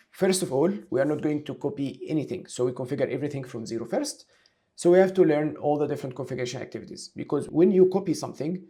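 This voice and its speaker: A man giving a step-by-step software tutorial, talking through each action aloud as an instructor. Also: a little high. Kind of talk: screen-share tutorial, explaining step by step.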